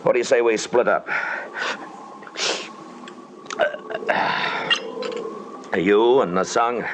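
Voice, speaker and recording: An actor playing a calm, commanding expedition leader who narrates and acts in an old-time radio drama, measured and matter-of-fact. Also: male voice, on the low side, natural voice. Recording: very noisy old radio recording.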